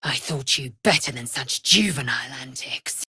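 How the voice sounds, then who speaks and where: A woman's voice: rumbling low, lively intonation, moderate pace, bright, forceful tone, breathy edge, no room sound, clean studio sound, exaggerated voice. A woman playing a proud, fierce alien warrior in a fantasy video game, with a curt, imperious and disdainful delivery. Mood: cold disdain.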